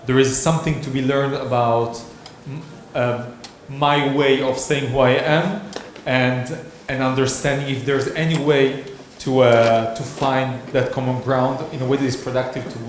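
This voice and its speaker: A man's voice: medium pitch, fairly even intonation, measured pace, hardly any pauses. A man moderating a workshop discussion, talking in a hesitant, thoughtful way with many restarts. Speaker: session moderator. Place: conference hall. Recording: echoey conference hall.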